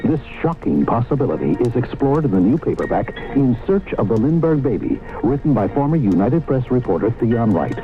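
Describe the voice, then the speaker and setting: A man announcing a commercial in a dramatic 1970s radio advertising style. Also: medium pitch. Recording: tinny old radio, faint music.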